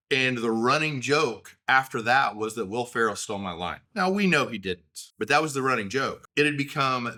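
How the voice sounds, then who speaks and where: Oklahoma guy in his 30s, crisp bright voice, just chattin and wandering off on tangents. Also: medium pitch. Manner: rambling, self-deprecating storyteller. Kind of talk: casual solo monologue.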